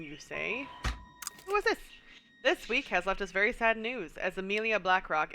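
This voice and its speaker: A woman's voice: medium pitch, sharp tone. A woman with a stuffy nose streaming a puzzle game, thinking aloud and reading in-game text in a casual way. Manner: muses aloud, reads in-game text, chuckles often. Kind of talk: casual gameplay commentary.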